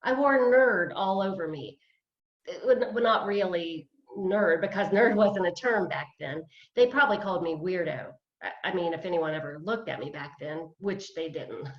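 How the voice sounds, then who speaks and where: A woman's voice: on the low side, animated, normal speed, natural voice. Woman as a wry nervous retiree, roomy dull audio. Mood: sheepish. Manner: hesitant, wry, chuckling. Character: self-deprecating, nervous 58-year-old woman, retired, beginner dancer. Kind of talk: play reading over video call. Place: indoors, on a video call.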